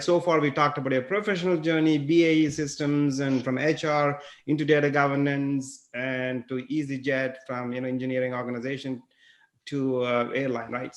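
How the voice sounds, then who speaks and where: A man co-hosting a podcast, asking rambling, hesitant questions full of fillers. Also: on the high side. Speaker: podcast co-host. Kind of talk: interview questioning. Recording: roomy echo.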